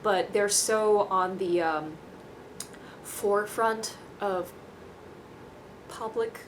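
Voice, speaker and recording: A woman's voice: medium pitch, drawn-out words, noisy background. A young woman from Taiwan talks casually to camera in a rambling, self-deprecating, dryly joking way. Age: young adult. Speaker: vlogger.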